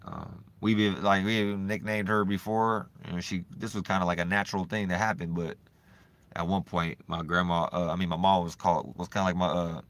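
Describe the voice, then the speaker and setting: A man vlogging in a loose, profane, rambling monologue, swinging between animated bursts and low mumbling. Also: a little fast. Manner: loose, profane and repetitive, full of "you know what I'm saying".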